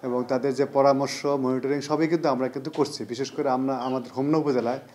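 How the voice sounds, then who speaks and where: A man's voice: mid-range voice, fairly even intonation, rapid-fire, darkish tone. A man with a Bangladeshi accent giving an emphatic interview statement as an official. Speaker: livestock department official.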